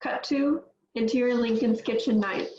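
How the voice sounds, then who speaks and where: A woman's voice: a little high, moderate pace, a little mellow. A woman reading screenplay stage directions as scripted narration. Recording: roomy, dull lo-fi, faint noise.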